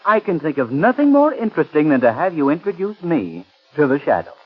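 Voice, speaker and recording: An actor playing an urbane, courteous gentleman in a 1930s radio drama. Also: a little high, very animated, a little fast, husky. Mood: suave. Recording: dull lo-fi 1930s radio recording.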